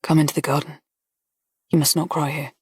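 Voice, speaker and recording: Brief: a woman doing a reserved, gentle colonel, low and measured, storybook reading, dry sound. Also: little pitch movement, husky, dry recording. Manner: quiet, measured and restrained. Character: grave, gentle army colonel, restrained man.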